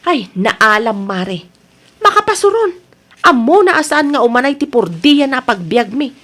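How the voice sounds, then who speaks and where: A woman playing an exasperated, high-voiced Filipino wife. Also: big pitch swings, unhurried, fairly bright tone, exaggerated voice. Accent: Ilocano Filipino. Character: aggrieved, complaining Ilocano wife and mother. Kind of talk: radio comedy-drama acting.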